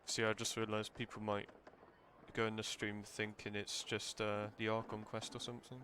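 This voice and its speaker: A man's voice: lowish voice, completely flat delivery, moderate pace, sharp tone, slight background noise. Casual rambling man streaming a game. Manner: rambling, thinking aloud. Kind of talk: casual live game commentary. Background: game music.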